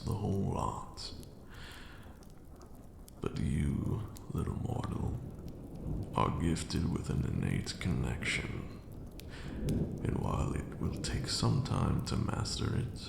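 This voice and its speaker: A man playing an ancient, haughty vampire lord and fond mentor in a slow, theatrical ASMR roleplay monologue, murmured in a deep, gravelly voice. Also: reverberant, background noise, exaggerated voice. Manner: theatrical, drawn-out, condescending.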